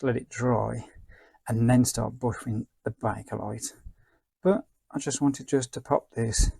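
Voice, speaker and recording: A man talks softly through a hands-on ASMR demonstration. His voice is husky and relaxed, with a dry, close sound. He murmurs casually as he works, sometimes dropping almost to a whisper. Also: mid-range voice, dry recording. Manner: quiet, murmured talk to himself while working, at times near whispering. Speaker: presenter of a restoration demonstration.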